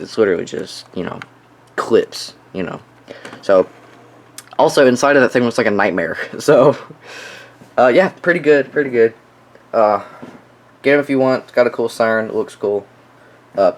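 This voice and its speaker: A young man giving a casual, offhand showcase of his collection, halting and often trailing off. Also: mid-range voice. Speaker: collector showing weather radios. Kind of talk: casual product showcase vlog.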